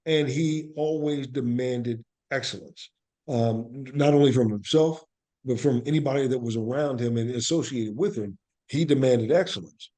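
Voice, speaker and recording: A man with a medium-pitched voice talks as an interview guest. He is earnest and reflective, explaining in a conversational storytelling way and repeating key phrases for emphasis. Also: dark tone. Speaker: interview guest, actor and playwright.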